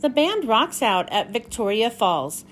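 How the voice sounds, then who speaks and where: A woman host reading a storybook aloud for children in an expressive way. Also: on the high side, unhurried, slight background noise. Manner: expressive, clearly addressed to young children.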